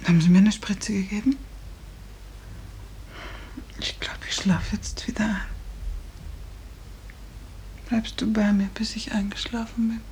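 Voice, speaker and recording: An actress playing a gravely ill, dazed and apologetic woman patient, half-whispering in a 1950s radio drama. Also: medium pitch, steady tone, a little slow, long pauses, darkish tone, slight background noise, close to an everyday voice, rough recording. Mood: drowsy, apologetic.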